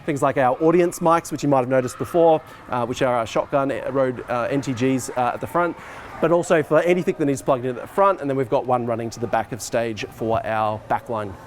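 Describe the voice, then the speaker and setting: A man's voice: mid-range voice, fairly quick, slight background noise. A man in his forties giving an informal, explanatory walkthrough as a teacher, talking conversationally as he moves about. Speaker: teacher, head of department giving a technical tour. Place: school hall stage and backstage, indoors.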